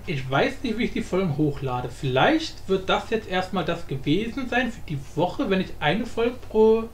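Man, very varied intonation, informal tutorial host, roomy sound, faint background noise. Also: high voice. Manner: informal, explanatory, often adds tag questions. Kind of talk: casual screen-recorded software tutorial. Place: indoor room, voice-over of screen recording.

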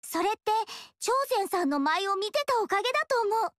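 Bubbly sunny monk girl, super high and bouncy, dry studio sound. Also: animated, a little fast, dry recording. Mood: cheerful. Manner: bright and friendly. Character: cheerful, bubbly young woman monk. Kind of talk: game dialogue.

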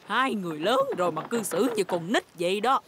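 Lady doing sensible granny pig in a kids cartoon, gently telling off, noisy behind. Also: mid-range voice, expressive, brisk, natural voice. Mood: scolding. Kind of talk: dubbed children's cartoon dialogue.

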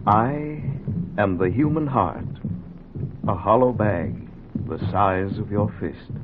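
An actor playing a talking human heart in a 1940s radio drama, grave and poetic in delivery. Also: male voice, lowish voice. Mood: solemn. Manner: slow, grave, poetic declamation. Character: solemn talking human heart, once wounded. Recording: very noisy, tinny 1940s radio recording.

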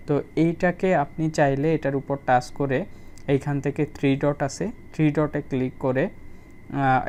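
Man, very even tone, tutorial voice-over. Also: medium pitch, slight background noise. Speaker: tech tutorial host. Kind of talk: spoken phone tutorial voice-over.

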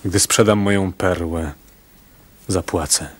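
An actor playing a proud, stubborn pearl diver and stern husband in a radio play, terse and grave. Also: male voice, deep voice, steady tone, slow pace.

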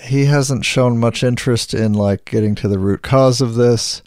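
A middle-aged man co-hosting a casual podcast chat, sometimes hoarse and clearing his throat, rambling with many restarts. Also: medium pitch, moderate pace, a little mellow, no room sound, clean studio sound. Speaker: podcast co-host.

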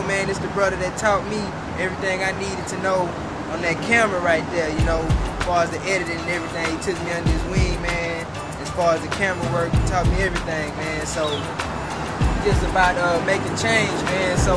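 Flat-voiced young man hosting a street interview. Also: high-pitched. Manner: hesitant, flat, many "man" fillers. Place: outdoors on a city street. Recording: outdoor street recording with music bed.

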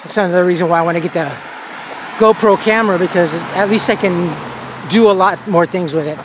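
A man's voice: high voice, brisk, highs cut off. A man chatting casually as he films a walking tour of his neighborhood, rambling with fillers as he goes. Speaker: vlogger giving a walking tour. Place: outdoors on a residential street. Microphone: handheld camera, filming as he walks. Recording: outdoor noise and passing traffic. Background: traffic and street ambience.

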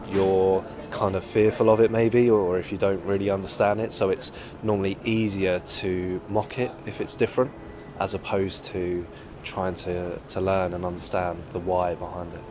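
A man in his late twenties answering a street interview outdoors in a park, thoughtful and unhurried. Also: deep voice, noisy background. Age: 20s.

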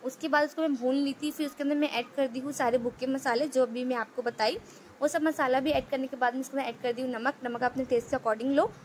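A woman with a high voice narrates a home cooking tutorial. She talks through each step in an even, explanatory manner and repeats phrases often. A faint hum of noise sits behind her voice. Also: fairly quick. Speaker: cooking channel host.

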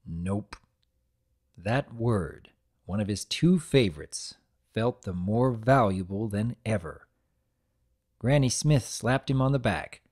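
A man's voice: lowish voice, lively intonation, takes it slowly, long pauses, darkish tone. A man narrating a story as a scripted dramatic reading.

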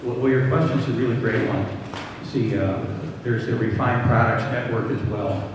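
A man on a conference panel, explaining answers to audience questions in a relaxed way with many fillers. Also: mid-range voice, normal speed. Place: conference hall panel table. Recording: far-off mic, echoey hall, background noise.

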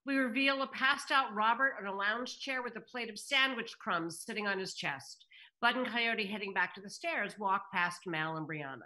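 A woman's voice: medium pitch, moderate pace, sharp tone, low-quality recording. A middle-aged woman on a home video call, informally hosting a table read and narrating stage directions. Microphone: computer video call.

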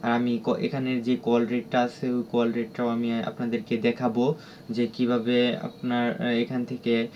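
Flat-toned Bangladeshi man, noisy lo-fi recording. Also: medium pitch. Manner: halting, repetitive, instructional. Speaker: tutorial presenter. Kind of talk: unscripted screen-recorded app walkthrough. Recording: dull lo-fi audio with clear background noise.

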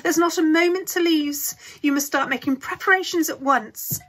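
A woman voicing an excited elderly grandfather who shouts eagerly in a storybook reading. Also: high-pitched, normal speed, pitched above the performer's own voice. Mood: urgent excitement.

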